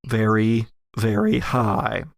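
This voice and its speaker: Male horror game narrator. Dramatic, unhurried storyteller with a creaky edge, closely recorded. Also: on the low side, steady tone, no echo, studio quality. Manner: theatrical, deliberate storytelling. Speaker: game master and host.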